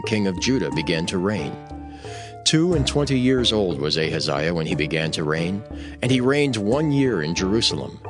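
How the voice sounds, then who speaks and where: A man serves as narrator of a dramatized scripture recording. His voice sits fairly low and moves expressively across a wide range. Music plays clearly underneath.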